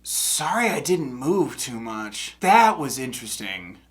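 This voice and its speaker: A man's voice: mid-range voice, very animated, slow pace. A young man with a congested nose, musing casually in a reaction video and rambling with many fillers and restarts. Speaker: music reaction host.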